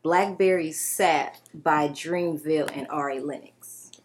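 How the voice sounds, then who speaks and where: A woman's voice: low-pitched, slow pace. A woman hosting a podcast in casual, slangy, playful chat. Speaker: podcast host. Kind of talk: casual chat, introducing songs. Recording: podcast recording with faint noise.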